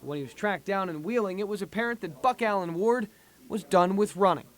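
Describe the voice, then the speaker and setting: A man's voice: sits high, a little fast. A man with an American accent delivering scripted television news narration as a reporter. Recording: faint background noise.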